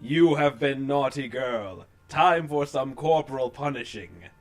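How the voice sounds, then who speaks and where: A man's voice: medium pitch, unhurried, lightly acted. A man voicing a cocky, macho cartoon cat hero who swaggers through one-liners in a parody story. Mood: smug, lewd.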